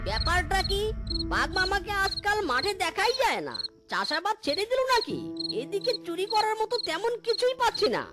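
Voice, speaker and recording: A woman voicing a sneaky, greedy animal thief in an animated fairy tale, a gleeful and scornful schemer. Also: very high-pitched, fairly quick, loud music behind the voice. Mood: scheming, grumbling. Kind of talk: Bengali animated fairy-tale cartoon dialogue.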